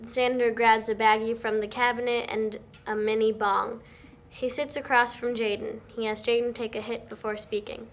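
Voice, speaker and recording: A woman's voice: a little high, little pitch movement, moderate pace. A woman reading out scripted stage directions as the narrator at a table read. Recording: noticeable background noise, amateur room recording.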